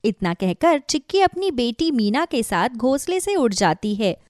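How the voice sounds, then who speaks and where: A woman's voice: on the high side, big pitch swings, normal speed, darkish tone, no echo, clean studio sound. A woman narrating a scripted children's cartoon story.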